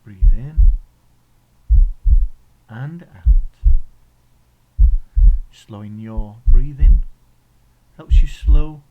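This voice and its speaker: A man with an English accent leading a guided meditation in a gentle, soothing, instructional way, leaving pauses between phrases. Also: mid-range voice, phone-quality sound, slight background noise. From England.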